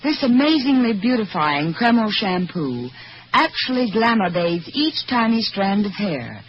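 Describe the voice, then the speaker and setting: A man's voice: high-pitched, big pitch swings, measured pace. A man reading a scripted 1940s radio advertisement as a commercial announcer. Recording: muffled, slightly noisy vintage radio recording.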